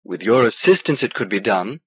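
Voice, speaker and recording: An earnest, stubborn young man on a journey as a male narrator plays him, questioning and insistent. The voice is husky with lively pitch movement, in an audiobook from a muffled old cassette. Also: fairly quick, no echo, full character voice. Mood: determined. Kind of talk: audiobook character dialogue. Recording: dull old cassette transfer.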